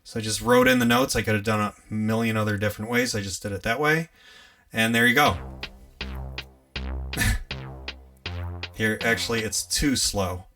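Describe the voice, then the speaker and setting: Gravelly bright-voiced upstate New York man. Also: on the low side, moderate pace, long pauses, far from the mic, faint music behind. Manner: relaxed, chatty, laughs often, talks while working. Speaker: livestream host teaching music gear. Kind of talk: casual live tutorial.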